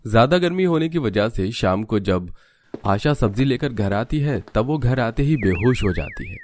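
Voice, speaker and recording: Mid-pitched man narrating a story. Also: huge pitch swings, moderate pace, a little mellow, a little hiss or hum. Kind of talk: scripted cartoon narration.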